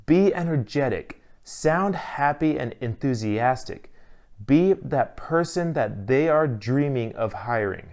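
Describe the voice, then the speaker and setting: A man narrates instructional material as a course teacher. His voice sits at a medium pitch. He speaks in a clear, measured way, giving practical advice from a prepared script. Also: lively intonation, darkish tone.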